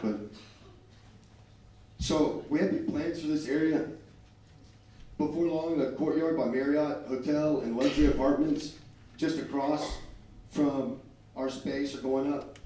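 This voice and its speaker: A man's voice: medium pitch, dark tone. A man presenting his new restaurants at a business meeting, losing his voice, upbeat and promotional. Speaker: restaurant representative. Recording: echoey room, far from mic.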